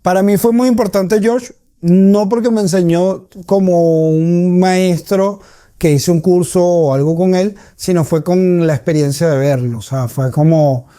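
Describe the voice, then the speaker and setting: A man's voice: sits high, measured pace, warm tone, clear, resonant tone, no room sound, studio quality. A man with a Caracas Venezuelan accent giving reflective, conversational answers as a comedian being interviewed.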